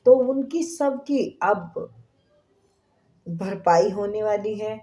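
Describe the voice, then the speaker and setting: Slow, soft-spoken woman tarot reader. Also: mid-range voice. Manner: slow, soft, drops to whispers in prayer. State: sore throat. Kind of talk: spiritual reading to viewers.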